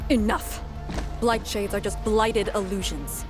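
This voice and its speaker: An actress playing a fierce, defiant female fighter in a video game, blunt and forceful. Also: medium pitch, steady tone, measured pace, over music, close to an everyday voice. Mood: angry, defiant. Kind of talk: video game character acting.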